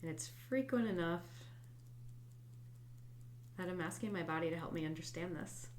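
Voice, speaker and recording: A woman hosting a guided tapping session, congested from a head cold, speaking softly and reflectively and sometimes dropping to a whisper. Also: fairly low pitch, normal speed, slight background noise. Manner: soft, reflective, drifts into quiet whispered tapping phrases. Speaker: host and EFT tapping coach.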